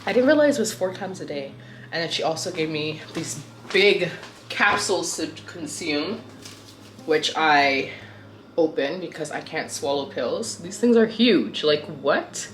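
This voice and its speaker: A woman's voice: low-pitched, animated, a little slow, fairly bright tone, roomy sound, slight background noise. A young woman vlogging casually to camera, chatty and rambling, with a sore throat that makes her sound slightly nasal. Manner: chatty, rambling, self-correcting. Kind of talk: casual vlog chat to camera.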